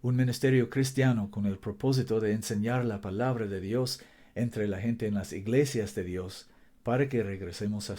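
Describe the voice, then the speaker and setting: A man preaching a sermon. He reads scripture slowly and deliberately, stresses key words with emphasis, and sometimes stumbles over his Spanish. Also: on the low side, warm tone, a little hiss or hum. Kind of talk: sermon with Bible reading.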